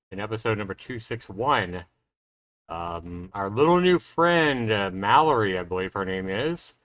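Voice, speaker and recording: Man, slightly deep voice, livestream host, informal, chatty recap. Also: fairly bright tone, dry recording, rough recording. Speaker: livestream host playing a visual novel. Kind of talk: casual livestream recap, then reading game text aloud.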